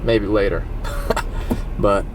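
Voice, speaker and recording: A man's voice: lowish voice, drawn-out words. A man in his twenties chatting casually in a rambling, loose vlog style, full of fillers and restarts. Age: 20s. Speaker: vlogger training for a triathlon. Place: in a car while driving home, later at home. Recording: car and road noise behind the voice.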